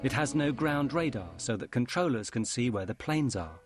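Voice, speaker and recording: A man narrating a documentary in a measured, grave scripted style. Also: medium pitch, animated, music in the background.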